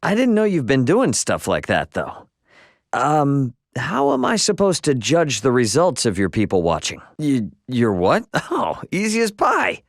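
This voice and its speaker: Lively, slangy young adventurer. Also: male voice, mid-range voice, measured pace, gravelly, no room sound, clean studio sound, heavily acted. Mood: awkward, hesitant. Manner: casual, slangy, expressive. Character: brash, friendly young male spacefaring adventurer, casual and warm-hearted. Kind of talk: video game voice acting.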